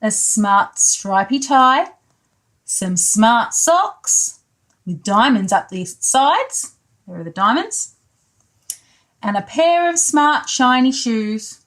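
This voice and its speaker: A woman's voice: on the high side, animated, slow pace, fairly bright tone. A woman pre-school storyteller reading a picture book aloud, adding simple explanatory asides for young children.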